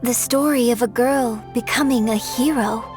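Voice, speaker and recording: A poised young opera singer, voiced by a woman, with a slightly high, clear voice, lays out an old legend in measured, storytelling tones. Faint game music plays softly underneath. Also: natural voice. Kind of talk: video game voice acting.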